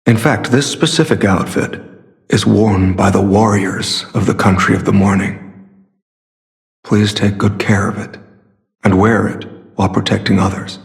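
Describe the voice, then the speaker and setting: A man playing a secretive, earnest masked warrior in a video game, speaking in a measured, formal and solemn way. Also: fairly low pitch, fairly even intonation, creaky. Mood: admiring, earnest. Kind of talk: scripted game character dialogue.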